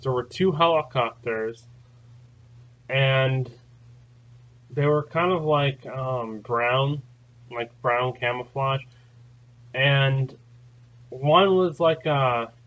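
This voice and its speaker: A man's voice: medium pitch, dull audio. A man hosting his own channel, telling a long story in a rambling, casual way, full of fillers and restarts. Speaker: channel host. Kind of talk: casual spoken recounting.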